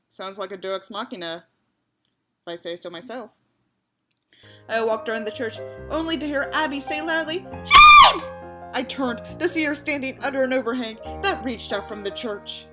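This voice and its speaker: A woman reading a story aloud as a narrator, breaking in with mocking, sarcastic asides and casual swearing. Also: fairly high pitch. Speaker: audiobook reader and commentator.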